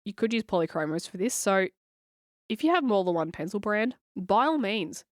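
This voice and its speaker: A woman, hoarse and scratchy from a cold, talking through a coloring tutorial in a chatty, encouraging way. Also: mid-range voice, big pitch swings, moderate pace, no echo, clean studio sound. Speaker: coloring channel host.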